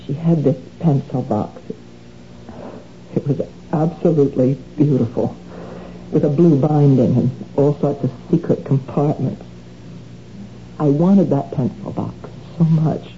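An actress playing an anxious wife who keeps shoplifting, in a 1970s radio drama with a defensive, pleading manner. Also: female voice, low voice, unhurried, pauses often, dark tone, a little hiss or hum. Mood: reminiscing. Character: anxious woman caught shoplifting again. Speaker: troubled wife. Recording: dull old radio broadcast.

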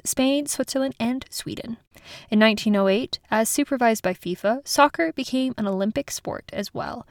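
A woman's voice: a little high, dry recording, clean studio sound. A woman hosting an educational podcast in a casual, chatty way, laughing at her own slips. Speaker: podcast host.

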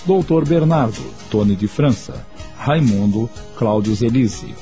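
A man's voice: medium pitch, animated, unhurried, dark tone, faint music behind. A Brazilian man announcing scripted radio credits in a formal, carefully enunciated manner. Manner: formal, enunciated list reading.

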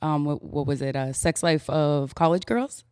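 A woman with a fairly low voice hosting a casual podcast interview. She asks questions easily and fills the gaps with steady affirmations. The sound is dry and close. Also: moderate pace, clear, resonant tone, dry recording. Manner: affirming, backchannels a lot. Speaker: podcast host.

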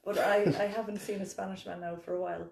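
An Irish woman with a mid-pitched voice hosts a casual podcast chat in a roomy, echoey space. She tells stories candidly, swears freely and pokes fun at herself. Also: fast talker, creaky, crowd noise. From Ireland. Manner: sweary, self-mocking, animated storytelling. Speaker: podcast host. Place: indoor room, seated on a couch.

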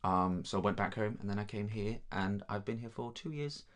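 A young man from Denmark, a native Danish speaker, chatting easily about his studies with many pauses and ums. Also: lowish voice. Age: young adult. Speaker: drama student guest. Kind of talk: casual chat.

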